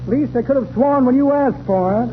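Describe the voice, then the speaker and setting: A grandiose male huckster in a 1930s radio comedy. The voice is very high for a man and rolls out flowery, rambling sales patter. It comes through a tinny, noisy old broadcast recording. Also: brisk. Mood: blustering, sly. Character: pompous, wordy old-style male con man and salesman.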